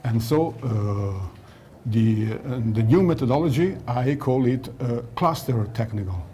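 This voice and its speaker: A man's voice: medium pitch, animated, unhurried, mellow. A man giving a technical explanation in an interview, didactic and often searching for words, at a noisy trade show. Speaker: loudspeaker designer being interviewed. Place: audio trade show in Milan.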